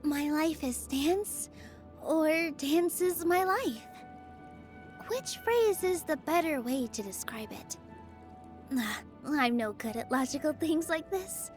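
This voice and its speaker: Sweet young dancer girl from a video game, really high voice, gentle n earnest, music behind. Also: animated, takes it slowly. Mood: tender, sincere. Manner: sweet, earnest, easily flustered. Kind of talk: video game character voice lines.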